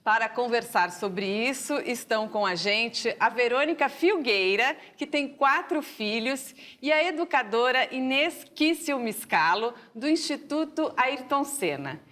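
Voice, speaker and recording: A woman hosting a TV talk show, introducing guests and asking questions as a brisk interviewer. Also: on the high side, animated, fairly bright tone. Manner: brisk interviewer, frequent affirming "uhum". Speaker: TV show host.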